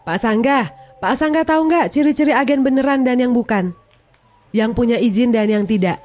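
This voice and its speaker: An actress playing a shrewd, principled women's advocate in a scripted drama, questioning and admonishing in a teasing way. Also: female voice, a little high, moderate pace, a little mellow, lightly acted. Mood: probing, challenging.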